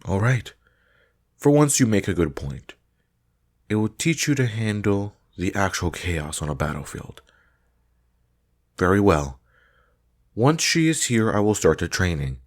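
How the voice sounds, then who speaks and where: A deep male voice acted by a man for a fantasy battle trainer. He is stern and demanding, with formal, clipped commands that expect to be obeyed. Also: very animated, a little slow, long pauses. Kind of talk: scripted fantasy audio roleplay.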